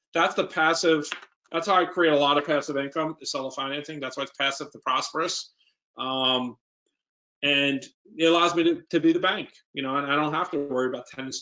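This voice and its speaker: A man's voice: on the high side, pushed and bright. A man with a New York accent, an investor speaking informally as a guest and answering questions in an emphatic, plain-spoken way.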